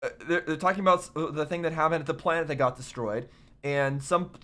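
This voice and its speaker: A man doing casual, rambling reaction commentary on a game, halting and puzzled as he thinks aloud, his voice a little off as he recovers from illness. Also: on the high side, bright, forceful tone. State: recovering from covid, voice slightly affected. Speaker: host of a video game reaction show.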